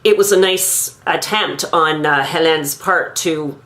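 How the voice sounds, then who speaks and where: A woman in her fifties from Quebec, thoughtfully recounting her own school memories in a measured, pausing monologue. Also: low voice, fairly bright tone. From Quebec, Canada. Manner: measured, thoughtful, with frequent pauses. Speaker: grandmother recounting her language learning. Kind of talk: reflective personal monologue.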